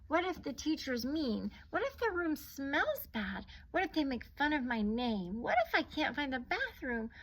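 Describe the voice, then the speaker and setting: A woman's voice: sits high, big pitch swings, moderate pace, few pauses, fairly bright tone, voice pushed up, low-quality recording. A woman voicing an anxious little girl from a storybook, asking things in a plaintive, fretful way. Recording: noticeable background noise.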